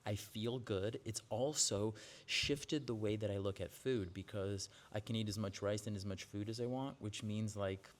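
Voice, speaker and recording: Forties guy vlogging, kinda low voice, rambly and candid, lots of likes. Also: normal speed. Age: 40s. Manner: chatty, candid, with frequent likes and restarts. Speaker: yoga teacher. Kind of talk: casual vlog monologue to camera. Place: outdoors with birds nearby.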